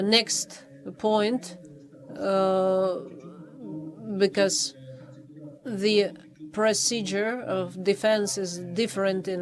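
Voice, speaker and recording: A man reads out his formal review at a doctoral defense. He speaks hesitantly in English, with frequent pauses and false starts. His pitch stays flat and level throughout. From Poland. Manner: halting, many hesitations and restarts. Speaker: official reviewer at a thesis defense.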